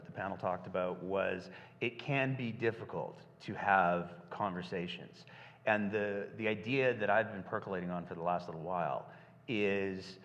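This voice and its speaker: A man's voice: low-pitched, a bit bright. A man hosting a live panel, talking casually and playfully. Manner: casual, chatty, acts out little dialogues. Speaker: host. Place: stage in a hall before a live audience. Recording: dull hall reverb.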